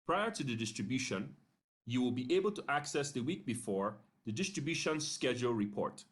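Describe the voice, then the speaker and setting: Man narrating a step-by-step software tutorial. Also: medium pitch, expressive, brisk. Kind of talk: instructional screencast narration.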